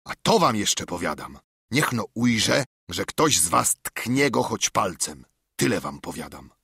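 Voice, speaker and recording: A man's voice: fairly low pitch, very animated, measured pace, gravelly, sharp tone, breathy edge, no echo, studio quality, full character voice. A man voicing a commanding, scornful older pirate captain and ship's cook in a storybook reading, with a swaggering, taunting way of talking. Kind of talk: audiobook character acting.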